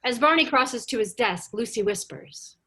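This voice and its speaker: Bright, high-pitched, animated woman narrator. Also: normal speed, breathy edge. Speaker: narrator reading stage directions. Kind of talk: script narration at a table read with asides.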